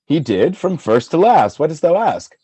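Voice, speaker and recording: Male actor as jealous noble commander, theatrical, huge pitch swings, tender to forceful, Shakespearean audio drama. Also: very animated, normal speed, husky, no room sound. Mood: suspicious, agitated. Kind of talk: Shakespearean radio play acting.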